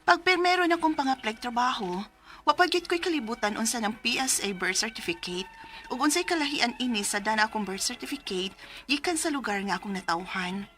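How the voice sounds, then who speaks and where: Earnest, high-voiced young Cebuano daughter. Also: female voice, expressive, a little fast, bright, forceful tone. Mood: earnest recounting. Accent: Cebuano Philippine. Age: young adult. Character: earnest young Filipina daughter seeking work. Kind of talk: 1990s Bisaya radio drama acting, narrating her story.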